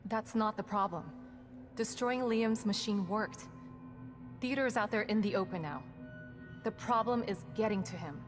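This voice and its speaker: A woman's voice: mid-range voice, natural voice. Woman acting a composed scientist, music behind. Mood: practical. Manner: measured, sincere, explanatory. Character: composed, earnest female scientist ally, reassuring and practical. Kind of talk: cinematic video game acting. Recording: music under the dialogue.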